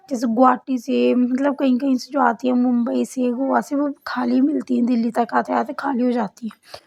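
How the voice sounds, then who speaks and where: A young woman with a sore, hoarse throat, chatting to her viewers in a voice-over for her travel vlog. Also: sits high, dark tone. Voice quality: husky, cracked voice. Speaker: vlogger. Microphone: voice-over recorded separately.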